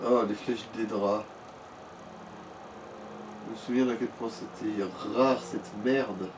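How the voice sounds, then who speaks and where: A man's voice: fairly low pitch, fairly even intonation, slow pace, music in the background. A man chatting casually as co-commentator on a game, joking in slang. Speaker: let's play co-commentator. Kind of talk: casual gameplay chat. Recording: distant mic with light noise.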